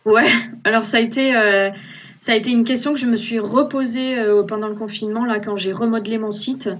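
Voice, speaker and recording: A woman's voice: fairly high pitch, steady tone, brisk. A woman in her thirties, an archaeological illustrator, talking casually on a video call from a small room at home, with many fillers and restarts. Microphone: laptop webcam on a video call.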